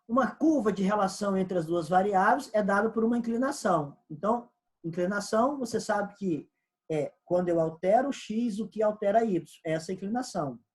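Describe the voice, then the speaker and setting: A man's voice: sits high, lively intonation, brisk. A man with a Brazilian Portuguese accent teaching an economics lesson, explaining step by step and repeating key points. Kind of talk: recorded online class lecture.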